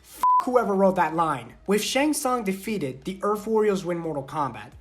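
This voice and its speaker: A woman's voice: medium pitch, expressive, moderate pace. Blunt, nitpicking woman reviewing a film. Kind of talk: film review with argumentative banter.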